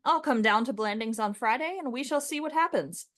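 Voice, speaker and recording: Radio play: stubborn, devoted young suitor female performer, earnest, lightly high voice with animated intonation. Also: brisk, no echo, clean studio sound. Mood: determined, fond. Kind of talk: comic radio play acting.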